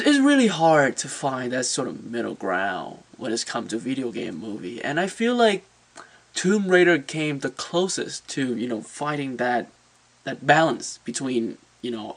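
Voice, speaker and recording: A man giving a casual off-the-cuff video movie review in non-native English, haltingly, repeating words as he searches for the next one. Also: medium pitch, a bit bright. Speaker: movie reviewer and vlog host.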